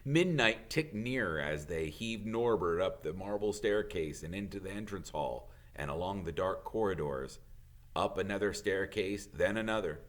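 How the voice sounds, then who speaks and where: A man reading a children's novel aloud as a teacher would, in expressive storybook narration. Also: low-pitched, moderate pace.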